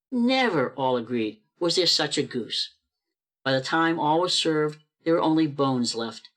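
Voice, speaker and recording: Higher-pitched male radio play narrator. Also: fairly bright tone. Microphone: video call.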